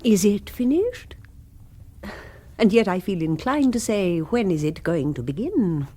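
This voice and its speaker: A woman's voice: mid-range voice, very animated, a little slow, mellow. A woman playing a self-important, middle-aged society queen in a radio comedy, with an upper-class English accent and a pretentious, condescending manner. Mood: pretentious, disdainful. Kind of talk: radio comedy drama acting.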